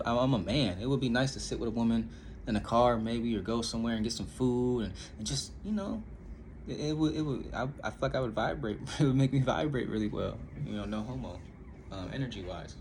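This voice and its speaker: A man's voice: medium pitch, a little hiss or hum. A young man from Indiana talking candidly to camera in a loose, rambling vlog, stopping and restarting often with lots of fillers. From Indiana, USA. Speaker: vlogger. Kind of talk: casual rambling monologue.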